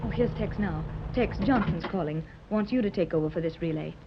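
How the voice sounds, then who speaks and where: A woman's voice: fairly low pitch, a little fast, close to an everyday voice. Actress as an earnest 1930s radio-serial lady. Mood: businesslike. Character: earnest, hopeful wealthy mother seeking lost daughter. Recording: dull, noisy 1930s radio recording.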